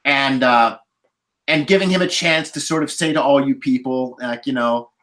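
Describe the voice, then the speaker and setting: A woman's voice: a bass voice, normal speed, fairly bright tone. A woman chatting casually as a panelist in a movie discussion. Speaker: podcast panelist. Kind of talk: casual chat, movie review.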